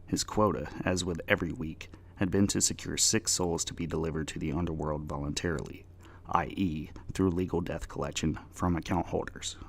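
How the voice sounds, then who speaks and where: A male narrator reads a story aloud as a dramatic audiobook. His voice is low and creaky, with noticeable fry on the words. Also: fairly even intonation, moderate pace. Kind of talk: dramatic reading of scripted fiction.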